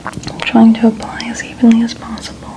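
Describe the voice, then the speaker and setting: A woman whispering softly and breathily as she gently narrates an ASMR skincare routine step by step. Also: a little high, monotone, a little fast. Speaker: ASMR creator. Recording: clearly noisy ambient background.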